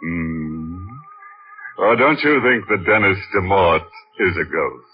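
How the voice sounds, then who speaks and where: Deep, slow, sardonic horror host. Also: male voice, animated. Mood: gleefully macabre. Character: macabre, teasing male horror show host. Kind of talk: 1940s radio horror hosting. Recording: dull old radio broadcast with music behind.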